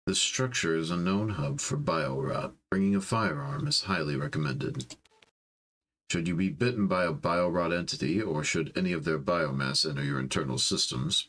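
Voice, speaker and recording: A man's voice: a bass voice, a bit bright. A man hosting a storytime game playthrough, reading narration aloud and chatting casually. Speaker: host reading a visual novel aloud.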